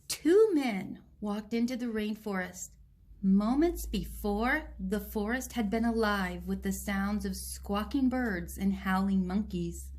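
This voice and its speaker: A woman reading a picture book aloud to children, with gentle, simple explanations along the way. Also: mid-range voice, slow pace. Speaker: children's story reader.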